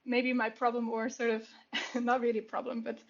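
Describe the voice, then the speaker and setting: A young woman from Switzerland chats as a guest on a podcast, her higher voice staying level with little melody. She fills pauses with ums and laughs easily. The audio sounds a bit muffled. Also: vocal fry. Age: young adult. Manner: relaxed, laughs often, frequent ums. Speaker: podcast guest, software and product engineer. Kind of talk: casual podcast conversation.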